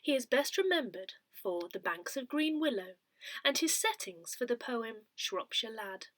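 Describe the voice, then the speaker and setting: Woman reading aloud with lively, swooping intonation. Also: on the high side, measured pace, no echo. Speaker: newsletter reader. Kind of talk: scripted narration, reading aloud.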